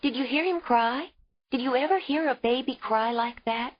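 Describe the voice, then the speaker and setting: Woman doing high breathy-feeling young cave mom, desperate, won't let go of her baby, kinda muffled audio. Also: normal speed. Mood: fierce defiance. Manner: pleading, tearful, insistent. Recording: dull lo-fi audio.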